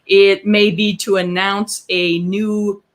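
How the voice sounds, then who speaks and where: Woman podcast co-host, medium pitch, explaining business stuff in a measured, instructional way. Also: flat delivery.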